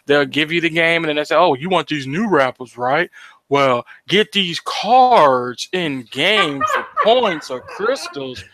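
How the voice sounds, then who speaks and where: A man with a somewhat high, bright voice co-hosts a casual podcast. His pitch swings in an animated way as he gives blunt, emphatic opinions, swearing heavily. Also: a little slow, no echo. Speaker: podcast co-host.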